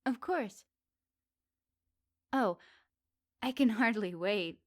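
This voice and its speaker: Soft, intimate flirty witch, voiced by a woman. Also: a little high, extremely slow, no echo, clean studio sound. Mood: kind, eager. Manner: soft, teasing, cozy delivery to one listener. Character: flirty, teasing, kindly lonely witch of the woods. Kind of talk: intimate ASMR roleplay. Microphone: close dry microphone.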